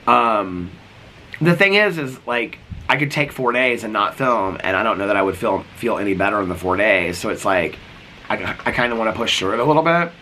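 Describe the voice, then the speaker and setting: A man's voice: fairly low pitch, expressive, brisk, sharp tone, a little hiss or hum. A middle-aged man vlogging casually while sick, with a cough and a voice that is going. He rambles, filling his talk with "like" and restarts, sitting outdoors. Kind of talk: casual vlog chat.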